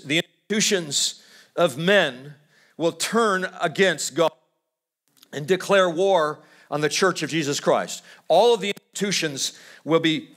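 A middle-aged man from California preaching a sermon at a church lectern, swinging from loud, high exhortation to hushed, low storytelling and restarting his phrases as he goes. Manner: repeats words as he restarts phrases. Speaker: pastor. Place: church stage at a wooden lectern.

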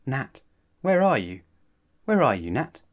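A man's voice: sits high, huge pitch swings, fairly quick, gravelly, voice pushed up, exaggerated voice. A man voicing a stubborn, teasing young girl who insists with a straight face. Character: young girl, stubborn, teasing, flatly refusing her brother.

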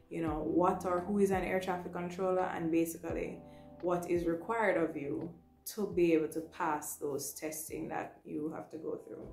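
A young woman with a Jamaican accent explains things informally to camera, in a slightly low voice. She is instructive and conversational, checking in with small tags as she goes. The small room gives a clear echo, and music plays behind her. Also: dark tone. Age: young adult. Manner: instructive, often tagging points with "right" and "you know". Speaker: vlogger, trainee air traffic control assistant. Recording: roomy echo with music underneath.

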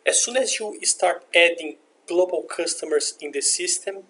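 A man narrating a software demonstration in a step-by-step, instructional way. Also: sits high, moderate pace, slight background noise. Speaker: software demo presenter. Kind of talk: scripted software tutorial narration. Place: screen recording voice-over.

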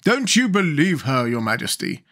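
A man voicing a naive, slow-witted pumpkin-headed man in a storybook, earnest, simple and deferential. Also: a little high, big pitch swings, a bit bright, pitched above the performer's own voice. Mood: indignant, surly. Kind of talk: storybook character voice.

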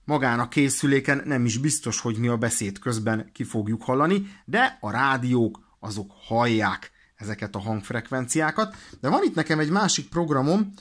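A man explains things in an informal, halting way, thinking aloud as he presents a hands-on demonstration. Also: mid-range voice, animated, a bit bright. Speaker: presenter of a radio equipment demonstration. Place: indoors at a desk.

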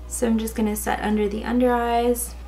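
Raspy young woman, chatty makeup tutorial. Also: on the high side, little pitch movement, brisk, mellow. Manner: casual, chatty, many fillers like "um" and "like". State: slightly hoarse from a cold. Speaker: beauty vlogger. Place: indoors, in front of a gold sequin backdrop.

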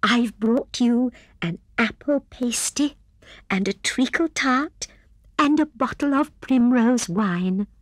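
A woman voicing a sweet, cheerful little female rabbit in a children's storybook, with a gentle lilt. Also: on the high side, slow, no echo, voice pushed up. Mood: happy, grateful.

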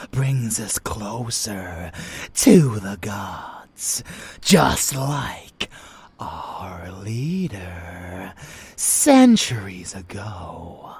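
A man voicing an unhinged, seductive, bloodthirsty vampire in a roleplay audio, theatrical and taunting, with a raspy, breathy voice that often drops into whispers. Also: fairly low pitch, exaggerated voice. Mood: fervent, reverent. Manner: theatrical, slow, sneering, taunting. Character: unhinged rebellious male vampire, seductive, zealous, bloodthirsty lover. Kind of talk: roleplay ASMR dramatic monologue.

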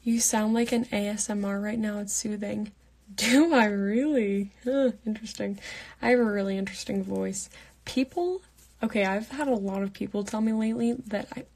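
A young woman in her late teens chats through a live Q&A, keeping her voice soft and half whispered. Her tone is breathy and mellow, with a gravelly edge at the ends of her phrases. She holds the phone at arm's length in her bedroom at night. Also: medium pitch, normal speed. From Pittsburgh, United States. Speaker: livestream host answering fan comments. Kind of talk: casual live Q&A chat. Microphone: phone held at arm's length.

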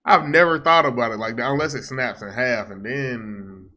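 A man's voice: medium pitch, normal speed, bright, forceful tone. A young American man giving casual commentary as the host of a reaction video.

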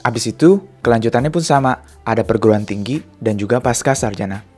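A young man from Indonesia, a student vlogger, explaining things in a quick, chatty way into a handheld mic. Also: medium pitch, a little mellow. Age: young adult. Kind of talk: casual vlog narration. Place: indoor office, then outdoors on a street. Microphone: handheld mic with fluffy windscreen. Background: background music.

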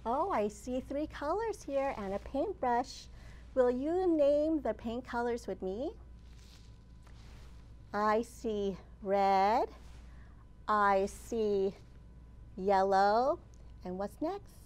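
A woman's voice: a little high, expressive, drawn-out words, pauses often, a little mellow. A woman in her thirties hosting a children's storytime, speaking in simple, encouraging phrases to young listeners. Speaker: children's librarian, storytime host. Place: indoors in a library children's area.

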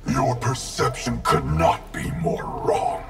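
A man voicing a grim, proud wraith ninja in a video game, gravelly and slowly threatening. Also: medium pitch, expressive. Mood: cold menace. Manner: slow, deliberate, threatening delivery. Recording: music playing behind.

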